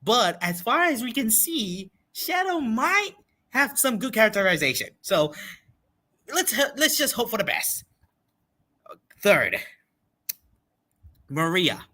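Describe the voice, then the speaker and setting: A man hosting a casual livestream reaction, talking in an excitable, repetitive and emphatic way. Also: high-pitched, slow, long pauses. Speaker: livestream host reacting to a game event.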